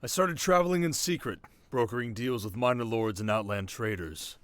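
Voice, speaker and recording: A man's voice: mid-range voice, moderate pace, no echo, close to an everyday voice. A man acting a wry young desert king, close-up. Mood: bitter reminiscing. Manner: intimate, wry, dramatic storytelling to a listener. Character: young desert king, sharp, wry, haunted, teasingly tender. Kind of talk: ASMR romance roleplay acting.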